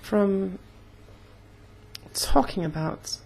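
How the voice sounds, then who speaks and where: A young woman talking casually in a personal vlog, slightly hoarse, with an earnest, halting way of speaking. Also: medium pitch, a little mellow, slight background noise. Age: young adult. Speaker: vlogger.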